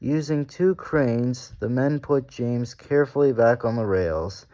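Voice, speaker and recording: A man's voice: medium pitch, measured pace, mellow, highs cut off. A man narrating a children's storybook aloud as the story reader.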